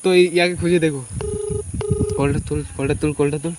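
A man talks in a high voice like an informal vlogger. His phrasing is colloquial and repetitive. Clicks and cricket chirps run clearly behind him. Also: steady tone, fairly quick, background noise. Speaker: prank-call vlogger, host. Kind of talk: casual chat to viewers and prank phone calls.

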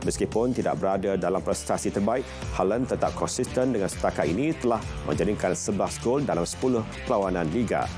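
A man's voice: low-pitched, a little fast, hardly any pauses. A man with a Malaysian accent reading scripted sports news as a presenter. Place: television studio. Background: music.

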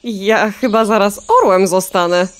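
An actress playing a cheeky, vain young hen in a children's radio play, sulky and mocking. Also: female voice, medium pitch, a little slow, lightly acted.

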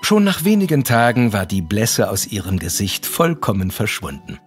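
A man narrating an audio drama as a measured storyteller. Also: on the low side, sing-song. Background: music with harp.

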